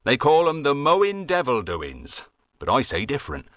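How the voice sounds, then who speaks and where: A man's voice: mid-range voice, wildly swooping pitch, moderate pace, fairly bright tone, dry recording, clean studio sound, voice pushed up. A man voicing an old West Country farmer who rambles on in folksy dialect with a West Country accent, grumbling at red tape. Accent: West Country English. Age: older.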